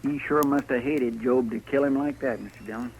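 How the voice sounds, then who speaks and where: An actor playing an earnest, deferential marshal's sidekick with a homespun, rambling manner, 1950s radio style. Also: male voice, mid-range voice, steady tone, fairly quick, close to an everyday voice. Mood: folksy. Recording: faintly noisy old radio recording.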